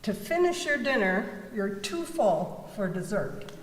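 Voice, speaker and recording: A woman's voice: medium pitch, very animated, a little slow. A woman reciting short sayings expressively in a readers theater style. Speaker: readers theater performer at church. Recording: echoey church hall, faint noise.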